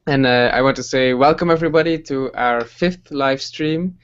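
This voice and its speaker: Young man hosting with soft laughs. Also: medium pitch, clean tone, lo-fi sound. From Belgium. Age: 20s. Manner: relaxed, halting, many fillers and soft laughs. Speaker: livestream host. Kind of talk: casual group livestream chat.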